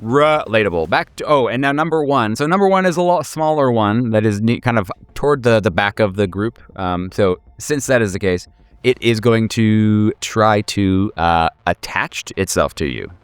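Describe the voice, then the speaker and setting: A man's voice: lowish voice, moderate pace, smooth, no echo. A man game mastering a horror tabletop game in casual, improvised narration, joking and swearing freely. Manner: profane, joking.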